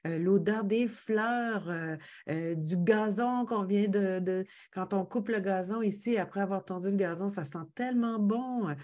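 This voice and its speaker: A woman's voice: mid-range voice, unhurried, mellow. A woman from Quebec with a Quebec French accent, a podcast guest talking casually with many fillers over a video call. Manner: hesitant, many fillers, laughs easily. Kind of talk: casual conversational interview.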